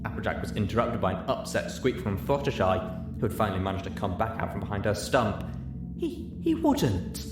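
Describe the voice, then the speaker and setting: A man's voice: on the low side, quick pace. A man reading a story aloud as narrator, in scripted storytelling narration. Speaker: narrator of a fan fiction reading. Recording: roomy echo. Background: music.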